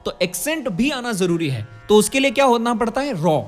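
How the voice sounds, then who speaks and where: A young man with a high voice and an Indian accent gives a singing and diction lesson. He explains step by step and demonstrates sounds, speaking into a close studio mic with faint music behind him. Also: big pitch swings, brisk. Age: 20s. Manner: explanatory, demonstrates sounds, checks in with tag questions. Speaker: vocal music teacher. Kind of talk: instructional talk on singing and diction. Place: indoor curtained studio. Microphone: studio condenser microphone close in front.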